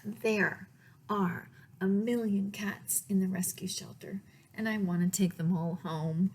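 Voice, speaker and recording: A woman reading a picture book aloud for story time in a deliberate, expressive way, pausing to speak to her young listeners. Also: mid-range voice, slightly rough. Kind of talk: read-aloud children's storytime, with asides to listeners.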